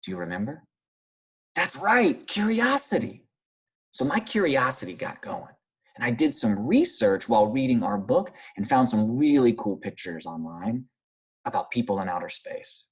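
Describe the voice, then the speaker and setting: An American man teaching young children in a read-aloud lesson, using simple, encouraging phrases and questions with pauses for answers. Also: mid-range voice, wildly swooping pitch, husky. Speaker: primary school teacher.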